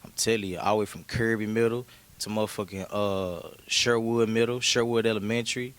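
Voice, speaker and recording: Laid-back young man, low voice. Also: fairly even intonation, a little fast, clean tone. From Memphis, Tennessee. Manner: laid-back, slangy, storytelling with frequent "you feel me". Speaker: rapper guest. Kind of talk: casual interview chat.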